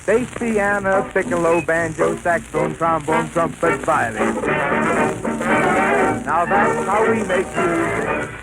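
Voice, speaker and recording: A man's voice: fairly high pitch, expressive, slow pace, loud music behind the voice, poor audio. A man performing novelty song patter as a bandleader on a 1930s radio broadcast. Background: loud dance band instruments.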